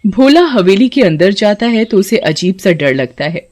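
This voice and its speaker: A woman's voice: medium pitch, very animated, moderate pace, heavy background noise. A woman narrator reading a scripted children's story.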